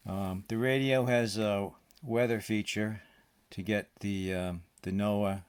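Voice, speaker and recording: A man giving an informal hands-on demonstration as a radio hobbyist, speaking haltingly and often hesitating. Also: on the low side, background noise. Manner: halting, hesitant, throat-clearing.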